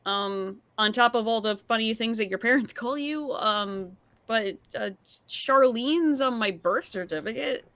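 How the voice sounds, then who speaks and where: A woman playing a forgetful, earnest young vampire in a tabletop game, stammering and halting as she talks. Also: on the high side, fairly bright tone, lo-fi sound. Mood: hesitant, friendly.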